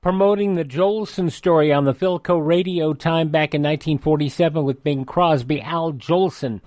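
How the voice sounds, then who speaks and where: A man's voice: on the high side, moderate pace, darkish tone, dry recording, clean studio sound. An American man hosting radio, reading a scripted rundown of events and dates in history. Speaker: radio host.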